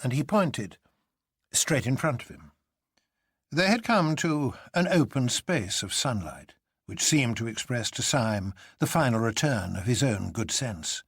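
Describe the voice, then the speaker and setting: Expressive, husky male storyteller. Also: mid-range voice, measured pace, no echo, studio quality. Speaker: narrator. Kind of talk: dramatic literary audiobook narration.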